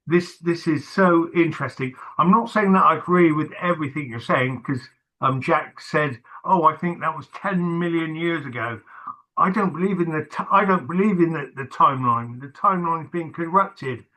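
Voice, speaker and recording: Bright-voiced man hosting a chat. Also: on the high side, moderate pace, low-quality recording. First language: not English. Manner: hesitant, many fillers and restarts. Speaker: host. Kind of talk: casual video-call chat.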